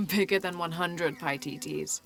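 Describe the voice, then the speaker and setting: An actress playing a thoughtful young woman adventurer in a video game, measured and sincere. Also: lowish voice, creaky, a bit bright, exaggerated voice. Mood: gentle. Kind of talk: video game cutscene acting. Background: music.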